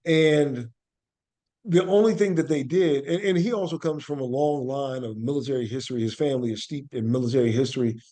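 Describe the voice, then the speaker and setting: A man with a medium-pitched voice talks as an interview guest. He is earnest and reflective, explaining in a conversational storytelling way and repeating key phrases for emphasis. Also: brisk, dark tone. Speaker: interview guest, actor and playwright.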